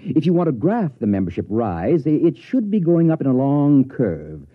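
An actor playing a confident, pedantic academic professor with a husky voice in a classic radio drama. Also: male voice, mid-range voice, very animated, moderate pace. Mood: casual, hedging. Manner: pedantic, self-assured. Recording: thin, phone-like vintage radio recording.

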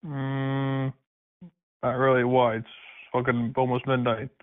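A man's voice: mid-range voice, flat delivery, takes it slowly. A man answering a prank call, irritated and dismissive. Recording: tinny phone line.

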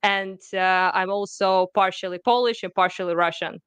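A young woman from Ukraine chats as a guest on a live stream. Her voice is clear and smooth, set at a middling pitch. She talks loosely, with plenty of fillers and little laughs, and the sound is close and dry. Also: steady tone, normal speed, no echo. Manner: chatty, many fillers and soft laughs. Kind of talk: casual live-stream interview.

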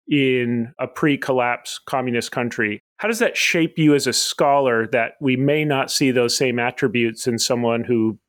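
A man hosting an interview podcast, speaking in measured, scholarly phrasing. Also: medium pitch, no room sound, studio quality. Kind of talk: scripted introduction, then thoughtful interview questions.

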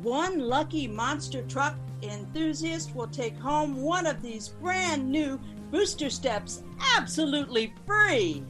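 Actress as a high-voiced showy stage announcer. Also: female voice, lively intonation, takes it slowly, hardly any pauses, over music. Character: showy female event announcer, brisk sports reporter. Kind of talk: carnival-barker stage announcing in radio drama.

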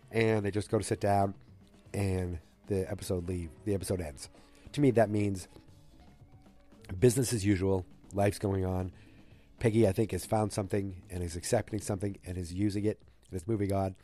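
A man's voice: sits low, fairly even intonation, normal speed, dark tone, faint music behind. A man with a cold, recapping a TV episode on his show in a rambling, self-correcting way. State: has a cold. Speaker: podcast host recapping a TV episode. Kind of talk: casual solo recap.

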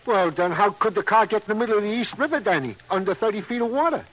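A man's voice: high-pitched, animated, fast, fairly bright tone, low-quality recording. A man acting a puzzled, eager police sergeant sidekick in an old-time radio crime drama. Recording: vintage radio recording.

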